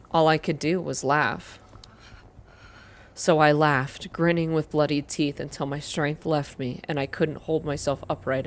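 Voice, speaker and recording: A woman reading first-person story narration aloud in a soft, hushed, measured ASMR style. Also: low voice, a little hiss or hum. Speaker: narrator reading a visual novel game aloud.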